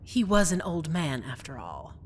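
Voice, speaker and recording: A woman playing a cold, scheming royal stepmother in a fantasy audio roleplay, mockingly sweet and condescending, with drawn-out taunts. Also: low-pitched, very animated, slight background noise. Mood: cold, taunting.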